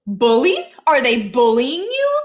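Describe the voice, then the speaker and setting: A woman's voice: high-pitched, huge pitch swings, takes it slowly, voice pushed up, full character voice. An earnest storybook mom, put on by a woman reader, fussing over her child. She is overprotective and insistent, lecturing as she goes. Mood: alarmed, insistent.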